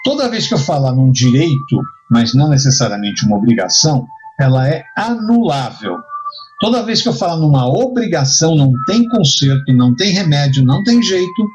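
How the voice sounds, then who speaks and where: A man's voice: a little high, very animated, a little fast, a little mellow, soft background music. A man with a Brazilian accent giving a conversational lecture, teaching didactically with rhetorical questions and frequent check-ins. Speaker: insurance and risk management instructor. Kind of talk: conversational lecture with hypothetical examples.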